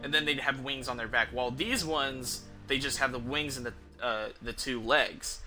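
A man's voice: medium pitch, moderate pace. Man rambling casually over game music. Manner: rambling, musing, casual swearing. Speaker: streamer. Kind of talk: casual gameplay commentary.

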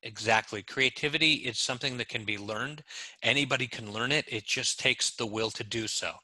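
Brief: forceful, bright-voiced author in his 40s, headset mic, dry and close. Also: mid-range voice, fairly quick, no echo, rough recording. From born in Israel, raised in Los Angeles. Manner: emphatic, insistent, talks with his hands. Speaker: guest, author and creativity expert. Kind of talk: animated interview conversation. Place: home room with bookshelves. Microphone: headset on a video call.

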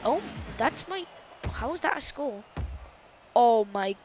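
A woman giving loud, excitable, casual commentary while playing a video game, exclaiming often. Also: on the high side, takes it slowly, background noise. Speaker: gameplay commentator. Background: game music.

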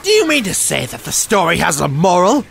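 Grumpy, self-important water-rat for audio drama, male actor; very animated intonation, faint outdoor ambience. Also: sits high, fairly quick, gravelly, slight background noise, full character voice. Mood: huffy, indignant. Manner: pompous, indignant.

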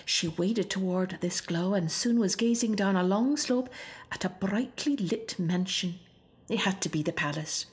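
A woman reads a children's picture book aloud as a storyteller. Her voice sits at a middle pitch, and she delivers the tale expressively, as scripted narration for young listeners. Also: unhurried. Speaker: storybook reader.

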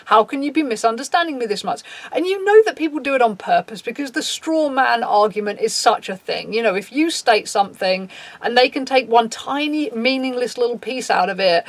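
Raspy-voiced woman, frank and sweary. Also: expressive, a little fast, dry recording. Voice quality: low and raspy. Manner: frank, swears freely, reads out a list and reacts. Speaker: YouTuber. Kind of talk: casual talk to camera. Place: small dim room, indoors.

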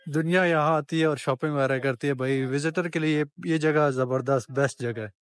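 A man's voice: a little high, steady tone, fairly quick, no room sound, clean studio sound. A man giving a chatty walking-tour vlog of a street market, talking straight to viewers and bargaining with shopkeepers as he films. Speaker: travel vlogger.

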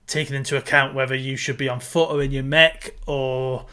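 A man in his thirties co-hosting a podcast over a video call, giving quick, assured opinions. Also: mid-range voice, little pitch movement, sharp tone. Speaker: podcast co-host. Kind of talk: casual chat. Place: home room, video call.

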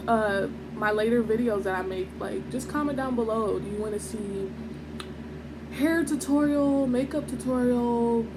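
A woman's voice: fairly high pitch, background noise. A young woman with a nasal, stuffy voice from allergies, chatting in a rambling way while eating on camera at a table at home. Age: young adult. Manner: rambling, chatty, many fillers. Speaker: mukbang vlogger. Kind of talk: casual chat while eating. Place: small home dining room, indoors. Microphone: camera on table at close range.

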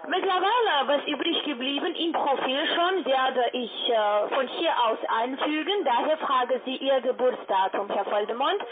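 A high-pitched woman with a foreign accent works through a sales call. Her phrasing is formal and halting, as a non-native speaker. She comes through a narrow, tinny phone line. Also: lively intonation, background noise. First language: not German. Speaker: telephone sales account manager. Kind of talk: scripted cold-call sales talk.

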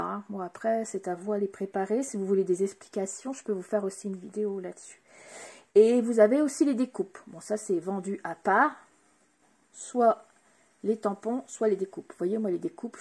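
A woman presenting craft products in a casual, hesitant way, pausing often with fillers while showing items to the camera. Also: medium pitch, dark tone, slightly rough.

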